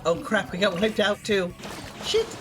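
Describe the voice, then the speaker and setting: Streamer, stuffy nose, sniffly, casual rambling chat, mid pitch, faint music underneath. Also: fairly even intonation, a little slow, fairly bright tone. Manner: chatty, rambling, trailing off mid-sentence.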